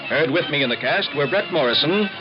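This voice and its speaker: A man's voice: fairly high pitch, animated, brisk, close to an everyday voice. An American man playing an earnest, solemn narrator in an oratorical mid-century radio drama style. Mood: formal. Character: Dan Taylor, earnest male radio narrator of patriotic civics. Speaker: lead actor and announcer. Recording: muffled old radio recording, music behind.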